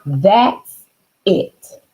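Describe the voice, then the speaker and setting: A woman's voice: mid-range voice, expressive, drawn-out words, mellow. A woman voicing a stubborn, determined little girl in a storybook, insistent and emphatic.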